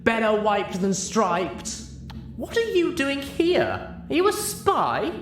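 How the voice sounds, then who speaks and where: A man's voice: very high voice, huge pitch swings, slow, fairly bright tone, far from the mic, voice pushed up, full character voice. A man voicing hostile, jeering, bigoted pony townsfolk with an accusing manner in a story reading. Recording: roomy, clearly noisy home recording.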